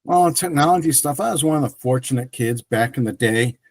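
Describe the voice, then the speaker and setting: A man's voice: medium pitch, a little fast, dry recording, clean studio sound. A middle-aged man whose first language is French, telling his career story as an interview guest with many restarts and fillers. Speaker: guest, tech entrepreneur.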